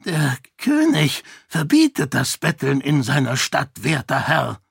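A man voicing an old, half-senile male beggar with a croaky, rambling manner. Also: mid-range voice, huge pitch swings, measured pace, a bit bright, no echo, studio quality, pitched above the performer's own voice, heavily acted. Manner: rambling, humble.

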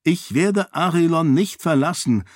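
A resolute young princess in a fantasy audiobook, voiced by a male narrator. The voice is lifted well up in pitch, calm but stubborn, with affection under the firmness. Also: moderate pace, no echo, studio quality, close to an everyday voice.

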